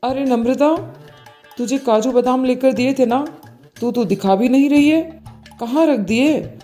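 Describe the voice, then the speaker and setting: Storybook role: older mother-in-law played by a woman, bossy, suspicious, scolding, high-pitched yet mellow. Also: measured pace. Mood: sarcastic. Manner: commanding, sarcastic, scolding. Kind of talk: moral storybook dialogue. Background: faint music.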